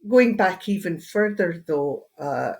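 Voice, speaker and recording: A woman's voice: lowish voice, animated, takes it slowly, darkish tone, slightly rough. Scottish woman with a partly Australian lilt. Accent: Scottish, Edinburgh, mixed with Australian. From Scotland. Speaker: guest, hypnotherapist and end-of-life doula. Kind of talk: casual interview conversation.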